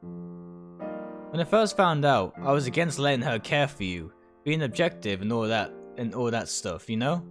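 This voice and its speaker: A man's voice: medium pitch, big pitch swings, unhurried, soft background music. A man voicing a casual, blunt male doctor who teases in a chummy, offhand way, from a visual novel. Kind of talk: visual novel character read aloud.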